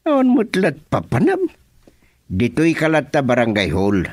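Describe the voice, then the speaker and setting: An actor playing an excitable, complaining village man in an Ilocano radio comedy, exclamatory and with an Ilocano Filipino accent. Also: mid-range voice, normal speed, no room sound. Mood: excited, exclaiming.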